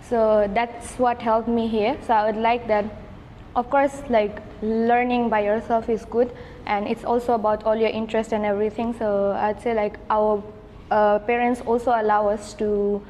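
A woman's voice: on the high side, little pitch movement, normal speed, a little mellow, big-room sound, slight background noise, low-quality recording. Chatty young woman from Nepal. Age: young adult. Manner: chatty, many "like" and "I would say" fillers. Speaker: guest, engineering student. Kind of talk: casual podcast chat. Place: café.

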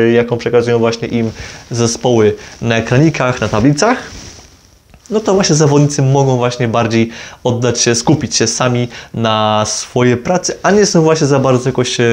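A man's voice: medium pitch, darkish tone. A man giving an informal explainer to camera, rephrasing and correcting himself as he goes. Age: 20s. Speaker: motorsport video creator. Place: small plain room indoors. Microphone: lavalier clipped on jacket.

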